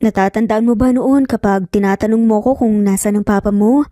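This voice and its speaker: A woman voicing a gentle, nervous young mother with a hushed, halting Filipino-accented delivery in an audio story. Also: mid-range voice, steady tone, no echo, studio quality, lightly acted. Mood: nervous, tearful.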